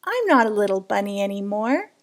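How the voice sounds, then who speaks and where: A woman's voice: medium pitch, big pitch swings, a little fast, natural voice. A woman voicing a proud, defensive young boy rabbit in a children's storybook. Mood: indignant, proud.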